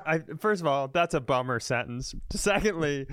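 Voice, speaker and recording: A man's voice: on the high side, expressive, normal speed, fairly bright tone, clear, resonant tone, dry recording, clean studio sound. An American man in his thirties co-hosting a comedy podcast, telling stories emphatically and with casual profanity.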